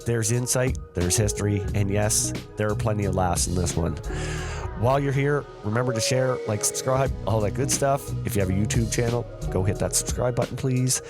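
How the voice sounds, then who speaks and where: A man giving an upbeat show intro and asking viewers to subscribe. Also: a little fast. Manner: upbeat promo pitch. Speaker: podcast host. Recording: voice over loud music.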